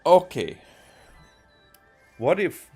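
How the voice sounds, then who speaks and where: A man from Hungary, thinking aloud in halting, rambling gameplay commentary and often repeating himself. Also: on the high side, huge pitch swings, a little mellow, slightly rough. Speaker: video game streamer. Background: faint game music.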